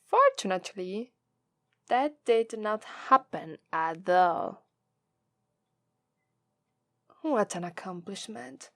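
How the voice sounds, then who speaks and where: A woman with an Italian accent voicing a gloating, teasing female demon captor in a slow, drawling ASMR roleplay. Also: medium pitch, animated, a bit bright, no echo, studio quality. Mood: smug, gloating. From Italy. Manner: slow, drawling, taunting, playful menace.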